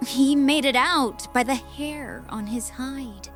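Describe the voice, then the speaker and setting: Female scout among outlaws, earnest and assured, high voice, unhurried, played by a woman, audio drama over music. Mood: earnest, assuring.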